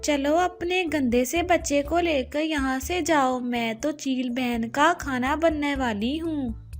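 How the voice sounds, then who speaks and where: A woman voicing a sassy, defiant female crow wife with a sharp-tongued manner in a children's cartoon. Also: sits high, slow, faint music behind. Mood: scornful, defiant.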